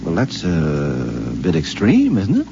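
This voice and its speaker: A wisecracking middle-aged husband, wry and incredulous, his pitch swinging freely as he jokes. The sound is a muffled 1950s radio broadcast with faint hiss underneath. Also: wildly swooping pitch, takes it slowly, vocal fry, full character voice. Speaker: radio drama actor.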